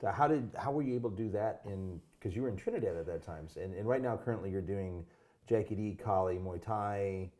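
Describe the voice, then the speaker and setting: Relaxed male podcast host, low voice. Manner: reads the intro slowly and evenly, then chats easily with a guest. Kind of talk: casual interview. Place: lives in California.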